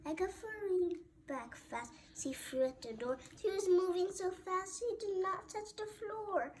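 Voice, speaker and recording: Slow, high-voiced female storybook reader. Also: a little mellow. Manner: halting, often stumbling over and repeating words. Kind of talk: read-aloud of a rhyming children's Christmas story. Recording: noticeable background noise.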